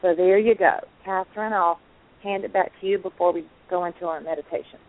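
Enthusiastic woman co-host, lowish voice, narrow phone-line sound. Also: fairly even intonation, a little fast. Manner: effusive, enthusiastic. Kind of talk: casual online talk show chat.

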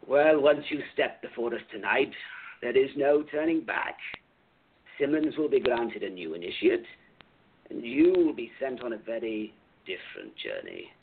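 A sinister club president in a radio drama, grandiose and menacing. His gravelly, husky voice swoops wildly between low and high as he leans on his words. The sound is thin, like a phone line. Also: big pitch swings, heavily acted. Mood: coaxing, ominous. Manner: theatrical, menacing, drawn-out emphatic delivery. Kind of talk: radio play acting.

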